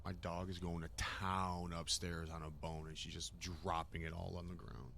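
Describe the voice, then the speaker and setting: A man's voice: low voice, steady tone, normal speed, creaky, background noise. A man who streams games, talking casually and swearing as he mutters along in roleplay. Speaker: game streamer.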